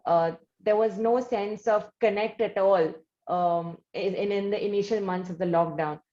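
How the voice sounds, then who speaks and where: A woman hosting an interview, talking in long, deliberate, conversational lead-ins. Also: mid-range voice, warm tone, echoey room, lo-fi sound. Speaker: interview host.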